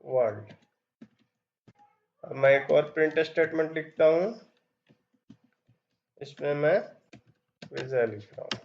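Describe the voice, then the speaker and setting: A man's voice: a little high, unhurried, creaky, long pauses, lo-fi sound. A man teaching programming step by step, reading code aloud as he types. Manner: explains step by step while typing, reads code aloud. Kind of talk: screen-recorded coding tutorial.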